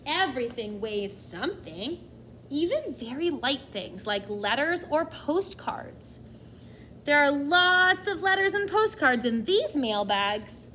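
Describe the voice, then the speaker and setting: Animated high-voiced woman reading to children. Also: slow pace, a little hiss or hum. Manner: expressive, questioning, addresses young listeners directly. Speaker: teacher reading aloud to children. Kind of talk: children's nonfiction read-aloud with asides.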